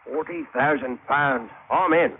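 An actor playing a rough working seaman with salty sailor dialect in a 1940s radio drama. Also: medium pitch, a little slow, close to an everyday voice. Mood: eager. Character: rough working seaman, gloomy yet eager for fortune. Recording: tinny old radio with faint noise.

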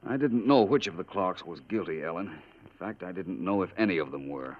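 Deep-voiced American male sleuth: shrewd, courteous, measured. Muffled vintage radio sound. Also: lively intonation. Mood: composed, explaining. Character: composed, shrewd gentleman detective recovering in hospital. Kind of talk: 1950s radio drama acting. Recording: dull old radio recording.

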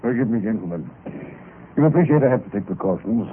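An actor playing the sly, cautious president of a secret club, urbane and measured, in old-time radio drama style. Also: male voice, on the low side, lively intonation, natural voice. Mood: measured, formal. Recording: noisy, tinny old radio recording.

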